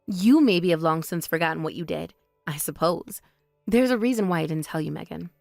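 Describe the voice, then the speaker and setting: A woman voicing a calm, composed older sister who speaks in a measured, quietly cutting way in a voice drama. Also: fairly low pitch, creaky, no echo, clean studio sound, faint music behind. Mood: cool. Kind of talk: voice drama, one performer.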